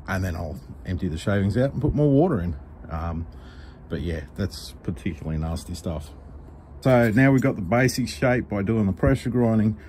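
A middle-aged Australian man, a knife maker, explaining his work step by step in a relaxed, practical way while he works at the bench. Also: fairly low pitch, a little hiss or hum. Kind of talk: workshop tutorial voice-over. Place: home workshop.

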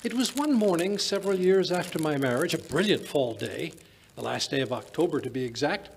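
Radio-play elderly British doctor, acted by an older man: avuncular rambler, highish gravelly voice. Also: animated, brisk, a little hiss or hum. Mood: relishing storyteller. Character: genial elderly British doctor, storytelling old raconteur. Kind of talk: old-time radio drama acting. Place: small stage in a museum, live performance. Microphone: vintage-style stand microphone.

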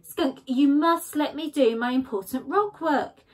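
A woman's voice: high voice, measured pace, voice pushed up. Woman doing a grumpy badger guy, short and snappy, storybook. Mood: stern. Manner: curt, impatient. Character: grumpy, studious male badger.

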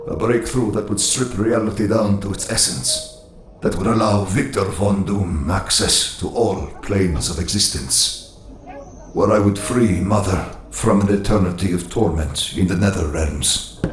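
A man playing a proud, brooding supervillain narrating his youth in a grave, measured, haughty manner in a motion comic. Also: sits low, roomy sound, a little hiss or hum. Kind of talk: scripted comic-book narration.

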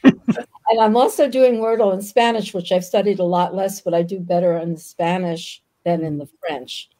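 An older woman whose first language was Yiddish, speaking as a guest author on a video call from a book-lined room, pausing often with ums. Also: lowish voice, steady tone, mellow. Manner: thoughtful, frequent ums. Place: home room with bookshelves.

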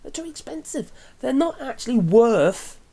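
A man's voice: very high voice, big pitch swings, normal speed, warm tone. A middle-aged man with an English accent talks through his opinions in a casual, rambling vlog style. He often breaks off to correct himself and adds chatty tag questions as he goes. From England. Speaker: vlogger commenting on news. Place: small wooden cabin room with open window.

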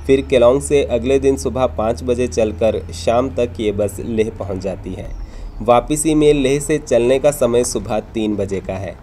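Guy narrating a travel vlog, mellow voice, explains stuff neatly, some background noise. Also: medium pitch, measured pace. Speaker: travel vlogger and host.

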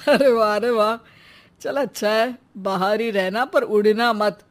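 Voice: medium pitch, fairly even intonation, unhurried, very noisy, natural voice. A performer voicing a principled, exasperated elderly father in a cartoon, speaking in a chiding, moralizing manner. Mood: teasing. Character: principled, exasperated elderly father, grey-haired family patriarch. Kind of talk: animated cartoon dialogue.

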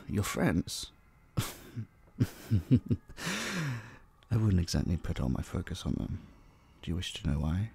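A man voicing a possessive, centuries-old vampire in an intimate roleplay drama, slow and condescending, with a husky, breathy voice that drops into whispers. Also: deep voice, warm tone, heavily acted. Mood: quiet threat. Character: possessive centuries-old male vampire, dominant, menacing captor.

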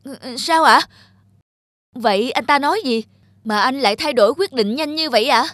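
A woman's voice: high voice, normal speed, a bit bright, no room sound, clean studio sound. A woman voicing an ingratiating male factory employee in a cartoon, deferential and hesitant toward superiors. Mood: flustered, dismayed. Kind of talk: cartoon voice acting.

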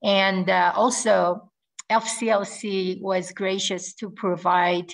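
A middle-aged woman with a fairly low voice, a native Korean speaker, talks earnestly over a laptop webcam call from a room at home. She pauses with frequent ums and restarts her phrases. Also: rough recording. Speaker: guest air force officer. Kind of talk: video call interview. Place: home room with ceiling fan. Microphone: laptop webcam on video call.

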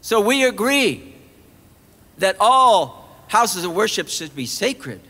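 A middle-aged man delivers an impassioned sermon at an outdoor rally from a podium mic. His voice sits high and sounds bright and forceful. He keeps building with pointed rhetorical questions. A long echo trails off the stone colonnade behind him. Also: very animated, slow pace. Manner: emphatic, rising on repeated rhetorical questions. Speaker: preacher giving a rally sermon. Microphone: podium mic on a stand.

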